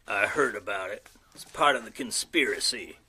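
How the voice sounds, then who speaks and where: Man playing a husky, folksy gun nut. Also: lowish voice, moderate pace, pushed and bright, exaggerated voice. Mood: defensive, stubborn. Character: paranoid, folksy rural gun-store owner, conspiracy-minded man. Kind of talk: audio drama acting.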